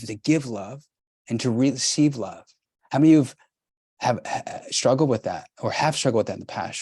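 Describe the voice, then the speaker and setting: A man's voice: medium pitch, darkish tone, no echo. Middle-aged guy coaching a workshop, pushy repeating motivational talk, dry close sound. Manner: emphatic, repetitive, urging.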